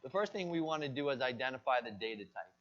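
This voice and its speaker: Male college lecturer, mid-pitched, quizzing the class and answering his own questions; muffled lo-fi classroom capture. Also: expressive, quick pace, a bit bright. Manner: asks the class questions and answers them himself. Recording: dull lo-fi lecture recording.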